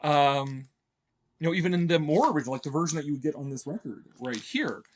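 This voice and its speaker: A man's voice: on the high side, quick pace. Rambling man gushing with lots of ums. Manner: rambling, heavy on fillers and restarts; chuckles often. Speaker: video essay host. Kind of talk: casual enthusiastic commentary.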